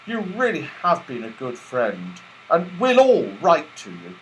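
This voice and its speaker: A man's voice: on the high side, wildly swooping pitch, unhurried, slight background noise, pitched above the performer's own voice, low-quality recording. A man voicing an adventurous young boy in a children's storybook, breezy and full of exclamations. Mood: cheerful.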